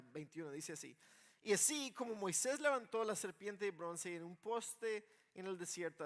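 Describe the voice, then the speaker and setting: A man preaching an expository sermon in plain conversational words, moving between hushed reflection and insistent emphasis, with halting restarts. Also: fairly high pitch.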